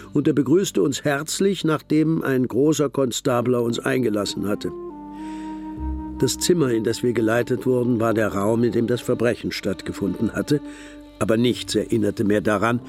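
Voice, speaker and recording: An actor playing a composed, observant gentleman who narrates a detective's case in a German radio drama, in measured literary style. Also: mid-range voice, lightly acted.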